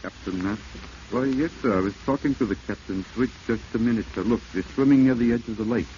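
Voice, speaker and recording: An actor playing a polite, helpful waiter in 1940s radio drama style. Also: male voice, mid-range voice, fast, warm tone, lightly acted. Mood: courteous. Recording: muffled hissy vintage radio recording.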